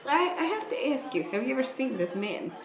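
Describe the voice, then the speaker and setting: An inquisitive lawyer, played by a woman talks in clipped phrases that drop small words. The improvised radio comedy sounds echoey, with clear crowd noise around it. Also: high-pitched, big pitch swings, brisk. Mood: eager. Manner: clipped phrasing, dropped articles. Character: inquisitive lawyer with clipped, broken-English speech. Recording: roomy echo, audience noise.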